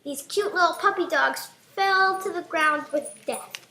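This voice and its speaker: Puppet show narrator, female: high-pitched, slow, halting, improvised, in an echoey room with some noise. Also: sharp tone. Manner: halting, pausing between phrases. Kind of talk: simple improvised storytelling.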